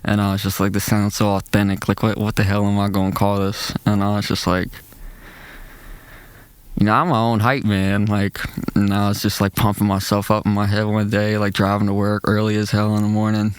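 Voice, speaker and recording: A young man with a nasal, deep voice talks in a loose, slangy way on a podcast. His pitch stays fairly flat, and his tone is smooth and clear. The sound is dry and close. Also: brisk, dry recording. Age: young adult. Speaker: rapper and producer guest. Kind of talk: casual interview chat.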